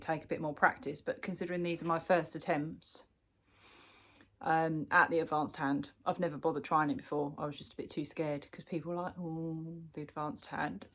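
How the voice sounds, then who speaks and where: A woman from the UK chatting casually through a crochet work-in-progress update, sounding bunged up from a cold, with lots of ums and restarts. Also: sits low. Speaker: crochet vlogger. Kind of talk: casual show-and-tell chat.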